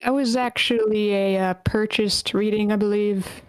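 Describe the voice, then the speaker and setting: A woman's voice: medium pitch, fairly even intonation, moderate pace, clear, resonant tone, dry recording. A woman casually hosting an online table read and organizing the readers. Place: online meeting.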